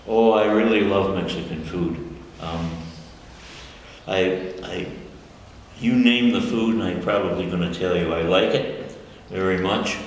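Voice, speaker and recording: Older American man, very low gravelly voice, rambling and chuckly, recorded in an echoey room with faint hiss. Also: unhurried. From United States, Michigan. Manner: rambling, self-correcting, chuckles often. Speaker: interviewee. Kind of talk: casual conversational interview. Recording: echoey room with long reverb and faint noise.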